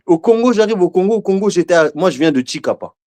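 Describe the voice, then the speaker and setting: A man's voice: sits high, animated, fairly quick, darkish tone. A man in a casual discussion, speaking hesitantly and often repeating words while he searches for them.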